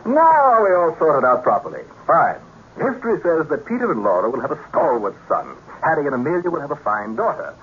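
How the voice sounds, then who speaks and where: A man's voice: fairly high pitch, big pitch swings, a little fast. A man playing a fussy, genial, self-important reverend in a 1950s radio drama, talking in a pompous, unctuous way. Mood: fussy, delighted. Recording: tinny, noisy 1950s radio recording.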